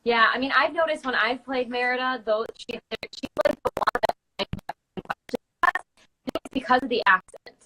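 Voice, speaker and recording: A woman's voice: sits high, normal speed, heavy background noise. A woman in casual, chatty conversation as a guest on an online call, speaking agreeably. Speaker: guest, character party performer. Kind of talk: casual chat.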